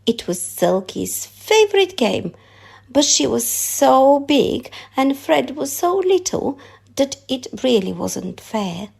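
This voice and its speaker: A woman narrating a children's story aloud in an expressive read-aloud style. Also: high voice, slow, vocal fry, husky.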